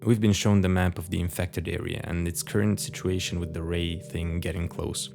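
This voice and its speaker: A man's voice: sits low, fairly even intonation, normal speed, vocal fry, over music. A man giving scripted commentary as the narrator of a horror video essay.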